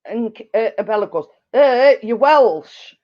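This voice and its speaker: A woman chats slowly with friends, her voice sitting a little high. She laughs often and trips over her words. The sound is dull and lo-fi. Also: expressive. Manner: giggly, laughs until tearful, stumbles over words. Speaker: host. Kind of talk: casual chat with friends.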